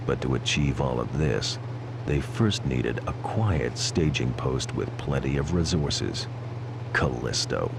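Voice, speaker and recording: A man playing a weary, cynical police pilot in a video game, narrating in a brooding, wry, gravelly voice. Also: very deep voice, full character voice. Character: weary, cynical male police gunship pilot telling his story. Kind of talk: scripted noir-like narration. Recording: clearly noisy, vehicle-like ambient hum behind.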